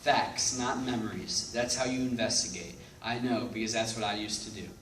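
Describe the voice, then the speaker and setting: A man's voice: fairly low pitch, fairly even intonation, normal speed, lightly acted. Weary, anxious amnesiac investigator, acted by a man, echoey. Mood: wistful, uneasy. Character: weary, anxious former investigator with memory loss. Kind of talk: readers theatre stage acting. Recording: echoey room, distant mic, background noise.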